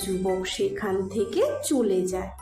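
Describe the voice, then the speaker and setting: A woman's voice: mid-range voice, unhurried. A woman narrating a scripted cartoon story as a storyteller, in an echoey recording with music. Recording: echoey voice under loud music.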